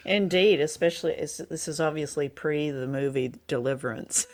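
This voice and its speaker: A woman's voice: low-pitched, moderate pace, darkish tone. A woman co-hosting a podcast, chatting casually and explaining things with hesitant phrasing. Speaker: podcast co-host. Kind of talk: casual review chat.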